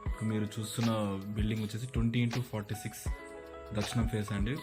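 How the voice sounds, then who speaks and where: A property agent with a Telugu Indian accent talks through a house tour. He is a man with a slightly low, very even voice and explains things matter-of-factly. Prominent music plays behind him throughout. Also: racing. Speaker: property agent presenting a house on his channel. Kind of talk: walkthrough narration of a house tour.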